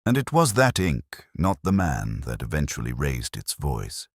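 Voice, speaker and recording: Deep male narrator, dry close sound, measured and reflective, lively intonation, scripted mystery read. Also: slightly rough, dry recording.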